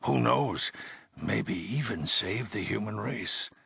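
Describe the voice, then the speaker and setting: An actor playing a weary, grave older leader of an underground vault in a video game, speaking in a measured, solemn way with heavy pauses. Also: male voice, on the low side, animated, fairly bright tone, no echo, studio quality, full character voice. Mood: grave, moved. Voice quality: gravelly, husky. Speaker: overseer of a vault community. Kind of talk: dramatic video game dialogue.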